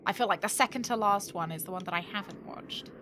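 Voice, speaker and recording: A woman's voice: medium pitch, quick pace, background noise. A British woman chatting casually and thinking aloud to viewers as she streams a video game. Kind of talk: casual chat while playing a game.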